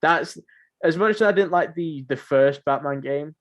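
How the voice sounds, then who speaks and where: A man's voice: on the high side, normal speed. A man co-hosting a podcast in casual, conversational chat about games. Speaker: podcast co-host.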